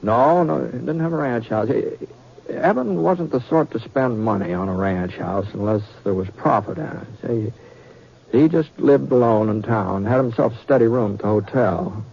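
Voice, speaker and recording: An American actor playing a kindly, folksy cowboy drifter in a 1950s radio western, speaking hesitantly with repeated words. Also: male voice, medium pitch, normal speed, vocal fry, darkish tone, husky. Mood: reminiscing, folksy. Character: kindly folksy drifting cowboy gunman telling a campfire story. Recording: dull vintage 1950s radio recording.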